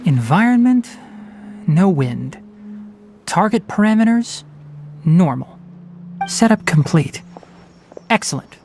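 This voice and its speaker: A man's voice: high voice, very animated, slow pace, pauses often, darkish tone, a little hiss or hum, full character voice. A man voicing a studious, fox-eared young forest ranger who explains things in a precise, lecturing way. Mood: explaining. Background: faint game music.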